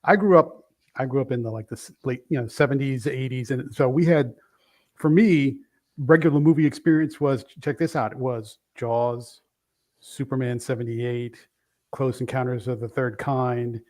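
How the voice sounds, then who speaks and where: A man in his fifties who grew up in Brooklyn talks at an ordinary middle pitch. He rambles enthusiastically, restarting and correcting himself as a guest on a casual comic book show. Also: steady tone, normal speed, darkish tone. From Brooklyn, New York. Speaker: guest reviewer. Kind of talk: casual chat.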